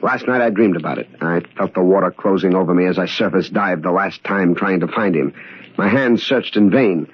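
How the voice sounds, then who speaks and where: An actor playing a cold, calculating murderer in a radio thriller, speaking in a taunting, mock-polite way with a husky voice. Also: male voice, sits low, fairly quick. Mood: feigned regret. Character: cold, gloating male murderer, a resentful long-serving employee. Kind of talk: old-time radio thriller acting. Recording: dull, lo-fi radio drama recording.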